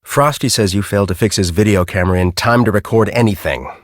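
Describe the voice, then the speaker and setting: An actor playing a grumpy, bossy middle-aged storm-chasing professor in a video game, with an American accent and a brusque, sarcastic, exasperated manner. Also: male voice, lowish voice, fast talker, no echo, studio quality, close to an everyday voice. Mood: bitter, disappointed. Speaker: video game character, research team leader.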